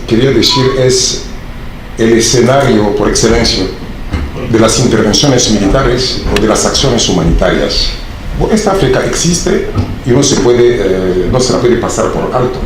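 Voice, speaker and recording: A man from the Democratic Republic of the Congo, a native Swahili speaker, giving a formal academic speech in a conference hall, measured and full of hesitations. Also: lowish voice, expressive, noisy background. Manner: measured lecturing with frequent hesitations. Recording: very echoey hall.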